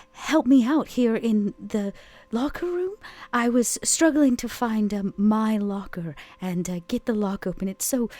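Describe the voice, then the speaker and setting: A woman playing a coy woman who poses as a helpless new nurse, flirting haltingly in a tabletop game. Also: mid-range voice, mellow, no room sound, voice pushed up, close to an everyday voice. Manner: flirtatious, feigned helplessness, breaking off with fillers.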